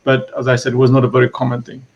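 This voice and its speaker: Eritrean-born guy telling his story in an interview, gravelly voice, keeps restarting and repeating himself. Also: medium pitch, completely flat delivery, dull audio. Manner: halting, with frequent restarts and repeated words. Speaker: author being interviewed. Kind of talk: reflective storytelling.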